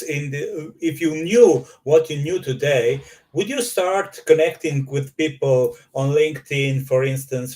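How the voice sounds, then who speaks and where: A man's voice: on the high side, darkish tone. A man hosting an interview in English, asking friendly questions with some restarts. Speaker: host. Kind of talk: conversational interview.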